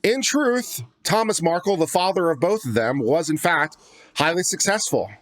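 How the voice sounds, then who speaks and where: A man with a slightly high voice, recorded close and dry with little room sound. He reads from a document in the role of online legal commentator, then drops into casual, sardonic remarks and dry rhetorical questions. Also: lively intonation, moderate pace, no echo. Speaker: host of a legal commentary channel. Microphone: close studio microphone.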